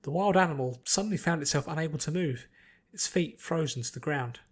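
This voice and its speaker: A man's voice: on the high side, a little fast. A man reading a scripted adventure story aloud as its narrator. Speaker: narrator, podfic reader.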